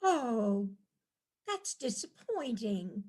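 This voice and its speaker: A wide-eyed, cheerful wife from the city, acted by a woman in a play reading, is enchanted by country life. She is chatty and dreamy, with a high voice and an unhurried delivery. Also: heavily acted. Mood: curious. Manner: chatty, dreamy, easily excited. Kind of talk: staged reading of a comic play.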